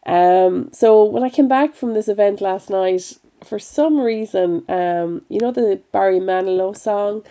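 Woman, podcast host, middle-aged, a little croaky, gravelly fry, mellow tone, enthusiastic and encouraging. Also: medium pitch, unhurried, few pauses. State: slightly croaky voice. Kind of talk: upbeat motivational podcast talk.

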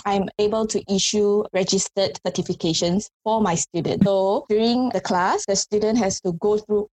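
A woman's voice: medium pitch, fairly even intonation, moderate pace, a little mellow, low-quality recording. A woman instructor in casual conversation, chatty and laughing often, with many "like" fillers. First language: not Korean. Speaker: flower craft instructor. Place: Korea.

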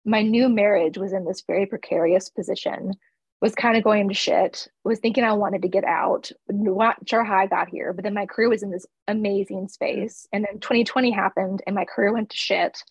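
A woman's voice: mid-range voice, fairly even intonation, a little fast, husky, no echo, poor audio. A woman from the East Coast who owns a flower shop, talking candidly in a casual interview with fillers and laughs. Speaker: florist and shop owner.

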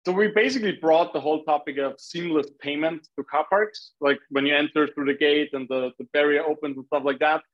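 A man's voice: fairly high pitch, steady tone, brisk, hardly any pauses, dull audio. A man from Austria with an Austrian accent, talking casually as a founder being interviewed on a podcast. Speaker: startup founder, podcast guest.

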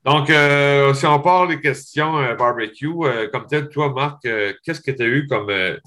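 Quebec host guy, stumbles and repeats words a lot, chill interview, middle pitch. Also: pushed and bright. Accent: Québécois French. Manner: halting, repeats words, informal. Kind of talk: casual interview.

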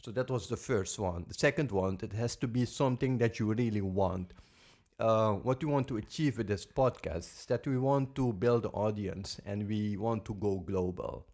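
A Dutch man co-hosts a podcast in English, speaking in a fairly low voice. He explains his points earnestly and steadily, often saying "basically". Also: moderate pace, a little mellow. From Netherlands. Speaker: podcast co-host. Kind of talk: casual podcast talk.

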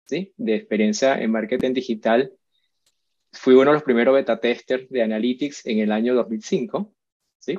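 A man's voice: mid-range voice, no echo. Chatty man, dull dry sound. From Venezuela. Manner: chatty, checks in with repeated "¿sí?" and restarts. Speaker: guest expert. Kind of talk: casual interview talk.

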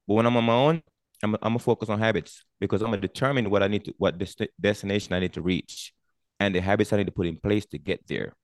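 A middle-aged man, a retired army veteran, talking as a podcast guest in an energetic, emphatic way, often repeating words as he thinks. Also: lowish voice, fast talker, no echo, studio quality. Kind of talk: casual podcast conversation.

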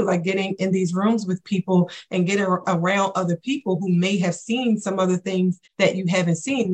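A woman's voice: fairly low pitch, a little mellow, breathy edge, poor audio. A woman in her forties, a business coach talking in a casual podcast chat, enthusiastic and often tagging "right?" onto her points.

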